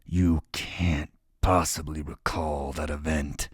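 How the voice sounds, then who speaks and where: A man voicing a huge, cold killer of a father in an audiobook, speaking slowly and low. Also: flat delivery, no echo, studio quality, exaggerated voice. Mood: cold menacing. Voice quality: deep gravelly whispery voice. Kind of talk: audiobook character voice.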